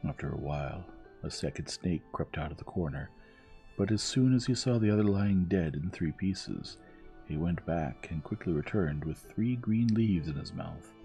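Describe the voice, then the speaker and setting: A man reading a storybook tale as gentle, measured bedtime narration, soft and soothing. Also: low-pitched, lively intonation. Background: music.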